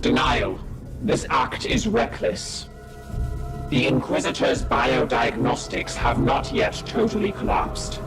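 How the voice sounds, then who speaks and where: Logical robot priest, slow halting speech, clinical but emphatic, loud music. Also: male voice, mid-range voice, big pitch swings, gravelly, full character voice. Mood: clinical argument. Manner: clinical, emphatic, halting one-word announcements. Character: logical, clipped male machine-priest of a sci-fi order. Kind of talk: video game cinematic acting.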